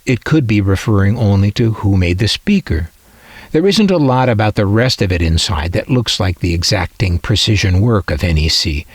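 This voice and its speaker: Male narrator, low register, animated melody, dry close sound, reflective collector delivery. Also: no room sound. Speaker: radio collector presenting their collection. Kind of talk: scripted narration.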